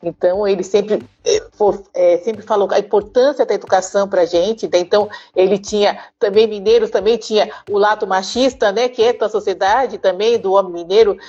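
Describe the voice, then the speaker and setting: Mellow middle-aged São Paulo woman, dull audio. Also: medium pitch, steady tone, brisk. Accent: São Paulo Brazilian Portuguese. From São Paulo, Brazil. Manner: frequent "né?" tags, informal. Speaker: podcast guest, diversity speaker. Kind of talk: conversational personal storytelling in an interview.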